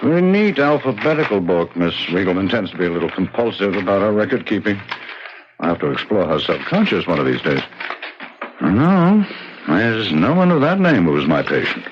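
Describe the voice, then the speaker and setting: An American actor playing a smooth, measured, faintly condescending psychiatrist in a 1970s radio drama. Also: male voice, sits low, sing-song. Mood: politely evasive. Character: smooth, probing male psychiatrist with unsettling calm. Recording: dull, noisy 1970s radio recording.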